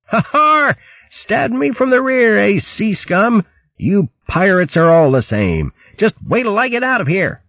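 High, blustering, indignant hare. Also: male voice, big pitch swings, a little slow, no echo, dull audio, heavily acted. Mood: pained, indignant. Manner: blustering, theatrical, old-fashioned upper-class slang. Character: ragged, indignant male hare, blustering posh eccentric. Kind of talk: audiobook character voice.

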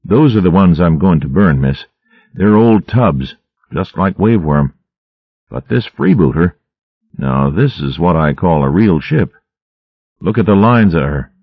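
A man's voice: sits low, lively intonation, a little slow, husky, no room sound, dull audio, heavily acted. Folksy male shrew sailor, chatty, a man voicing him. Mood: admiring. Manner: folksy rustic sailor talk.

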